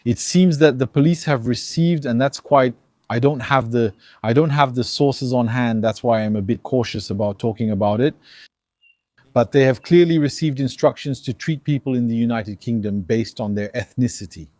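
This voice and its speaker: A man's voice: mid-range voice, moderate pace, dry recording, studio quality. A man from Algeria giving an emphatic opinion monologue to his viewers, acting out dialogues. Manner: animated, emphatic, acts out dialogues. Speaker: commentator.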